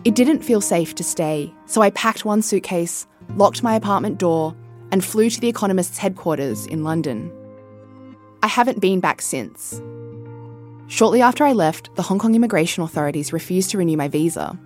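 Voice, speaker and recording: A woman in her thirties from Australia narrating a documentary podcast as correspondent, measured and reflective. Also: on the low side, expressive, a little mellow, soft background music. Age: 30s.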